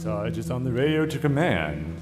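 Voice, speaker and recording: A man's voice: medium pitch, big pitch swings, moderate pace, over loud music. A man reading stage directions as the narrator of a scripted table read.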